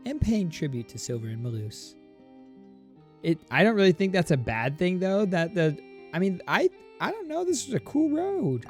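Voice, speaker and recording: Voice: medium pitch, big pitch swings, moderate pace. A streamer giving casual, playful, rambling commentary while reacting to a game. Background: game music.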